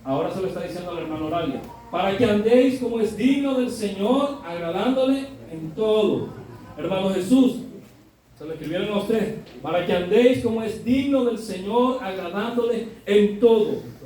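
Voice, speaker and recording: A middle-aged man preaches in a high, mellow voice. He talks with his congregation in a conversational way and keeps asking them to agree with him. He is recorded from far off in a very echoey church hall with faint room noise behind him. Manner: conversational, often adds tag questions; builds to loud emphatic exhortation. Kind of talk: sermon.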